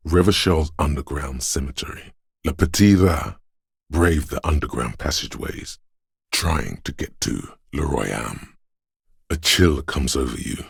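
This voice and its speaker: An actor playing a brooding inner voice of a desolate wintry city, narrating a literary game in a gravelly, husky bass with a measured, solemn and ominous manner. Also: male voice, no echo, clean studio sound, heavily acted. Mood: somber, hushed. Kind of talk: slow literary second-person game narration.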